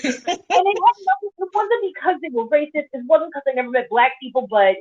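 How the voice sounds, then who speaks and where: Woman instructor, lively anecdotal panel talk, a touch high, thin phone-like call sound. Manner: animated storyteller, quick asides. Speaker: pole dance instructor. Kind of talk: casual panel discussion. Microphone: video call. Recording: tinny phone-like video call audio.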